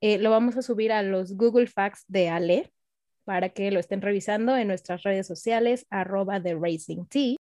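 A young woman, co-hosting a podcast in casual, excitable, gushing fan chat. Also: medium pitch, normal speed, dry recording, clean studio sound. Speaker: podcast co-host. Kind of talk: casual chat about racing.

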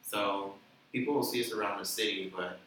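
Young guy, bright voice, chatty w lots of fillers, far off in a roomy space. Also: lowish voice, steady tone, fairly quick. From the Bahamas. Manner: chatty, laughing, many "like" and "you know" fillers. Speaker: musician guest. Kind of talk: casual interview chat. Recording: echoey room, distant mic, light noise.

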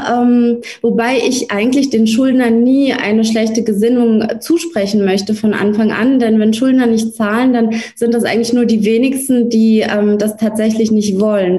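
A woman's voice: a little high, mellow. A woman lawyer whose first language is Russian, answering interview questions thoughtfully with frequent hesitations. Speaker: lawyer, guest.

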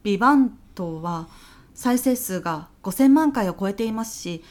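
A woman playing an earnest, polite businesswoman pitching to a client in a comedy audio drama, speaking deferentially. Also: lively intonation, normal speed, a little mellow, lightly acted. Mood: earnest, persuasive.

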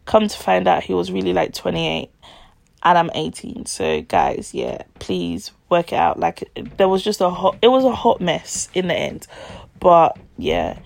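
A woman's voice: on the low side, wildly swooping pitch, measured pace, no room sound. A young woman, telling a personal story casually to her listeners on a podcast. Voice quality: gravelly vocal fry. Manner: chatty, confiding, addresses listeners as guys.

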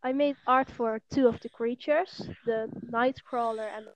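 A teenage girl from the Netherlands with a Dutch accent, a guest on a casual podcast who talks in an unhurried way with many ums. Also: a little high, steady tone, a little hiss or hum. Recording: dull, muffled audio.

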